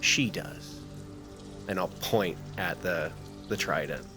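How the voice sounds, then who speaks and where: Man, bright low voice, casual roleplay, deliberate hesitant phrasing, tabletop player. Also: soft background music.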